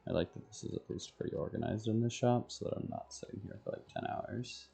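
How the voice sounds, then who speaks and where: Male game streamer, lowish and very mellow, offhand delivery, thinking out loud in fragments. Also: quick pace, creaky, slightly rough. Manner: absent-minded thinking aloud in short fragments. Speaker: game livestreamer. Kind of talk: casual chat while playing, muttering to self.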